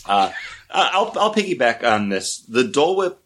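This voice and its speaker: A man's voice: mid-range voice, big pitch swings, normal speed, fairly bright tone, slight background noise. A man co-hosting a podcast, chatting casually and laughing often. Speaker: podcast co-host. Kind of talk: casual chat.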